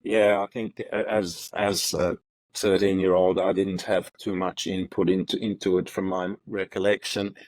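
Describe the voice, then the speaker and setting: Middle-aged man, very low and even voice, halting and reflective, close dry sound. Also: no room sound, low-quality recording. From Ethiopia. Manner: halting, many fillers and repeated words. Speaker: interview guest, retired prosecutor. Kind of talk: relaxed reflective storytelling.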